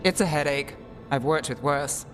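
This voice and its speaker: A woman playing a cool, sardonic investigator in a fantasy video game, with a dry and measured way of talking. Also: low voice, close to an everyday voice. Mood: cool, wry. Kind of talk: video game cutscene acting. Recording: loud music behind the voice.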